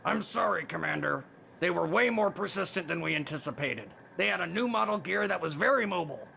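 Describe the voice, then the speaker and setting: Gravelly, high-strung rough general. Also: male voice, lively intonation, fairly quick, bright, forceful tone, a little hiss or hum, exaggerated voice. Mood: defensive, flustered. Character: sullen, rough military general, making excuses to his commander. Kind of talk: radio-play acting.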